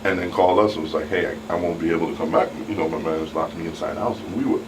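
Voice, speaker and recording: A man chatting casually on a panel, repeating words haltingly as he searches for his phrasing. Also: low-pitched, steady tone. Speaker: talk show panelist. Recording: distant mic, clear background hum.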